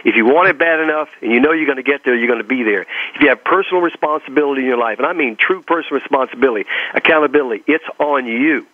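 Man, Texas accent, motivational speaker, emphatic, repetitive phrasing, medium pitch, narrow phone-like radio sound. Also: very fast, hardly any pauses. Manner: emphatic, repeating phrases for effect. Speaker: motivational speaker and coach being interviewed. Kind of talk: motivational talk and personal storytelling in an interview.

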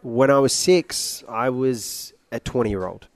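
A young man with a British-sounding accent, telling his story casually and haltingly as a guest, with some joking. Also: medium pitch. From Iraq, later Australia. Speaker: interview guest, singer. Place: at a conference.

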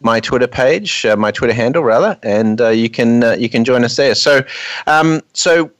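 A man's voice: mid-range voice, normal speed, dry recording. A man hosting an unscripted radio show from a studio, often restarting and repeating words as he goes.

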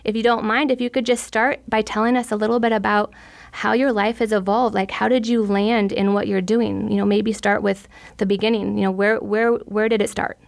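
A woman's voice: mid-range voice, flat delivery, brisk, gravelly. A woman hosting a podcast interview, eager and effusive, asking long rambling questions. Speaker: podcast host. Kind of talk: casual interview.

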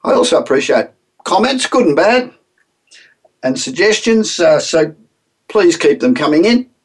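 A man's voice: a little high, sing-song, measured pace, slightly rough. A middle-aged man with an Australian accent, hosting a business radio show in a chatty, upbeat monologue with frequent ums.

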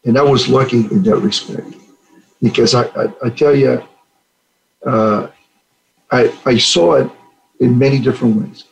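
A man's voice: mid-range voice, unhurried, creaky, pauses often, faint music behind, poor audio. An older man from Puerto Rico, a retired general, earnestly telling stories in an interview and acting out the dialogue. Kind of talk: storytelling interview answers.

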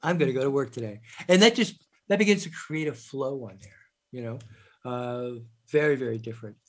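A man's voice: medium pitch, a little fast. A man hosting an interview, chatty and agreeable, filling his talk with "you know". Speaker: interview host. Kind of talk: conversational interview.

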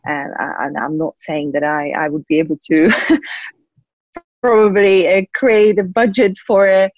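A slightly muffled, dry recording of a young woman with a Czech accent. She speaks as an interview guest in a fairly low voice, explaining things conversationally with frequent pauses. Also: little pitch movement, a little mellow, no room sound. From Czech Republic. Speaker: guest, lawyer and compliance officer.

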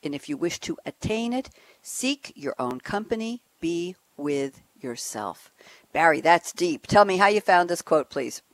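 A woman's voice: on the low side, lively intonation, measured pace. A woman hosting a radio talk show, upbeat and a little theatrical as she introduces guests.

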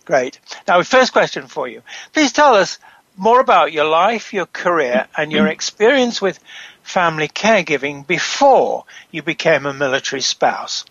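A male host reads his introduction at a steady, measured pace. His voice sits fairly high, and it comes across dry and a little muffled, with no room sound around it. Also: no echo. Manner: measured, reading from a script. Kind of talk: scripted talk show introduction.

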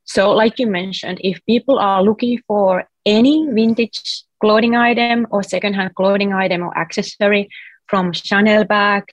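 A woman's voice: medium pitch, dry recording, poor audio. A woman from Finland with a Finnish accent, a guest on an interview show, explaining her app in a friendly, chatty way. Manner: explanatory, friendly, uses "like" as filler. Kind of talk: casual interview chat.

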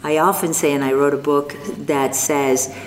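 An older woman from North Africa, speaking as an interview guest, slow and thoughtful with frequent pauses. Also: low voice, little pitch movement. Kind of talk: reflective interview conversation. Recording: long reverb, faint background noise.

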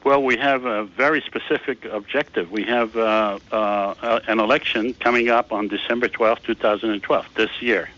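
A man being interviewed on a radio talk show, patiently explaining his plan in halting speech full of "uh"s. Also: lowish voice, fairly even intonation, fairly bright tone. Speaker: guest, founder of a peace initiative. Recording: slightly dull lo-fi radio sound.

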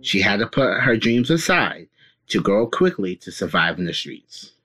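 A man's voice: on the low side, sing-song, normal speed, bright, forceful tone, husky, faint music behind. A man from Connecticut reading a prepared film response with expression. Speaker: student guest.

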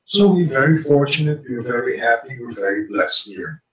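A man's voice: medium pitch, lively intonation, a bit bright. Curaçaoan man, echoey and distant. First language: Papiamento. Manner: measured, with frequent fillers. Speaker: wellness retreat organizer. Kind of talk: interview answers.

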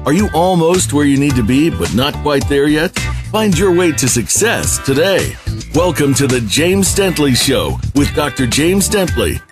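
A man's voice: a little high, sing-song, a little slow. A man reading a scripted show intro in a polished promo style. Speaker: show announcer. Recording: voice over music bed. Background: music.

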